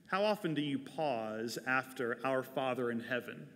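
A man's voice: medium pitch, animated, a little slow, a bit bright, slight background noise. An American man in his forties, a pastor delivering a sermon from a church pulpit. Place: large church sanctuary, at a wooden pulpit. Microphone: lapel mic. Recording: reverberant church hall.

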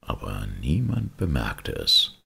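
A man reading a fairy tale as narrator, expressive, with a gravelly, husky voice. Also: very deep voice, takes it slowly. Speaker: storyteller, narrator.

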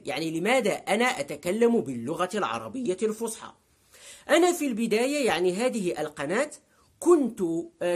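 A man in his thirties talks to his webcam from a sofa at home. He is emphatic and gestures constantly, and he repeats words to drive each point home. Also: high-pitched. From Morocco. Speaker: vlogger. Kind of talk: direct-to-camera vlog monologue. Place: living room with a sofa, indoors.

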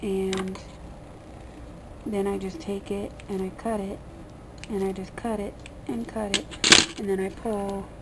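A woman with a gravelly, creaky voice at a middling pitch walks through a hands-on demonstration. She talks casually and rambles in plain colloquial language. The homemade camera recording has clear background noise. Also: flat delivery, measured pace, pauses often. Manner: rambling, colloquial, mildly crude. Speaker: vlogger giving a how-to demonstration. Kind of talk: casual unscripted hands-on tutorial. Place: small dim room indoors at a table. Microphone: camera mic pointed at hands on table.

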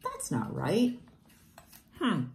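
A woman whose first language is Portuguese reads picture books aloud to children in a gentle, encouraging, singsong way. She is filmed at home on a close webcam. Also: mid-range voice, huge pitch swings, very slow, creaky, slight background noise. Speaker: teacher reading picture books. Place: home room with plants, indoors. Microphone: webcam at close range.

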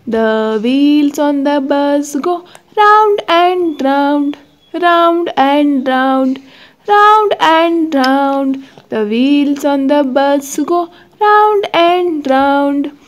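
A woman's voice: high-pitched, animated, very slow, long reverb tail. A woman singing nursery rhymes for children in a repetitive sing-along delivery. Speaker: children's song singer. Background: faint backing music.

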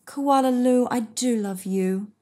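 A woman's voice: a little high, slow, dark tone, voice pushed up. A woman voicing a loving koala mother in a storybook, tender and affectionate, with a lilt. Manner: affectionate, lilting.

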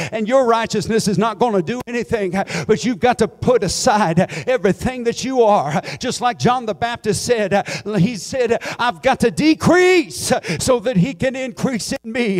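A middle-aged American man preaching a Pentecostal sermon in a church sanctuary on a handheld mic, his delivery swelling from quiet scripture reading to near-sung, shouted appeals. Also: high voice. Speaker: pastor. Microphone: handheld microphone.

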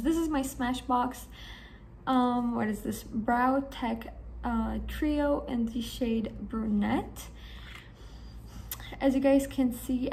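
Hoarse young woman, gravelly chatty vlog. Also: fairly high pitch, a little mellow, a little hiss or hum. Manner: chatty, enthusiastic, rambling with fillers. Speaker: beauty vlogger. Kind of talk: casual talk to camera. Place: small room indoors.

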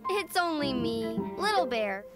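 A woman's voice: high voice, very animated, a little slow. A woman voicing a playful, eager young boy bear cub in a children's cartoon, with a bubbly, singsong way of talking. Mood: cheerful. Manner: bubbly, singsong, giggly play-acting. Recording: music behind the voice.

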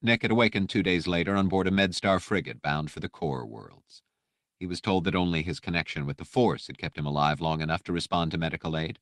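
A man reading a scripted audiobook story with measured, precise, dramatic narration. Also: sits low, fairly bright tone, no echo, clean studio sound.

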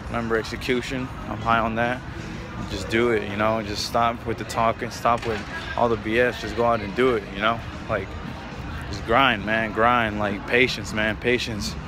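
A man's voice: on the low side, level tone, normal speed, over music. A man in his twenties chatting casually to his viewers in slangy, repetitive motivational talk, recorded on a handheld phone outdoors. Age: 20s. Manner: informal, slangy, repetitive hustle pep talk. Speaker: vlogger. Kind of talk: casual motivational vlog chat. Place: outdoors at night in an open-air shopping mall. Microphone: handheld phone at arm's length. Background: wind and outdoor urban noise.

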